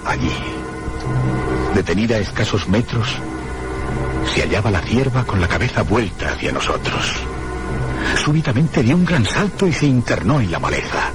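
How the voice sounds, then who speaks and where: An actor playing an uneasy, curious traveller in a suspense radio drama, speaking in a formal, literary way. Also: male voice, fairly low pitch, big pitch swings, moderate pace, pauses often, loud music behind the voice. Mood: suspenseful. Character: uneasy, curious male traveller recounting a mysterious journey. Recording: radio drama with loud background noise.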